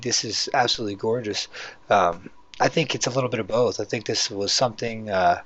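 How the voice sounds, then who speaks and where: A man with a hoarse voice hosting a casual, rambling video walkthrough, talking conversationally as he explains what is on screen. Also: medium pitch, a bit bright. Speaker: YouTube channel host. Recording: dull, lo-fi microphone sound.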